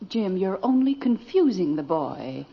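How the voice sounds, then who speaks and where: An actress playing a gentle but firm middle-aged mother in a vintage radio sitcom. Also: mid-range voice, very animated, unhurried, gravelly. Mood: gently reproving. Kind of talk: 1940s-50s radio sitcom acting. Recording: echoey, muffled, very noisy vintage radio recording.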